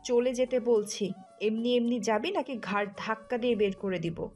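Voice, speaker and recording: A woman's voice: on the high side, moderate pace, darkish tone, close to an everyday voice. A woman playing a wounded, jealous wife who scolds and accuses sharply in an audio story. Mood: furious. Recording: music under the voice.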